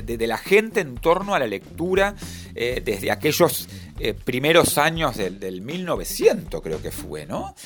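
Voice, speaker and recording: A man, reflecting on his reading and libraries with many restarts. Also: mid-range voice. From Argentina. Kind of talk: personal interview testimony.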